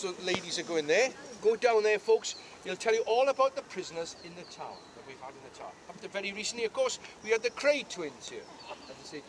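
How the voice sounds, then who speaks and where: A man's voice: high-pitched, noisy background. An older Welsh man giving a jokey, chatty guided tour outdoors at a historic castle, often asking the crowd questions.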